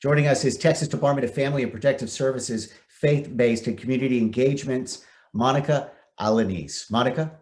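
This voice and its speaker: A man's voice: mid-range voice, brisk, darkish tone. American man hosting a virtual event. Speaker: event host, news anchor. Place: video call.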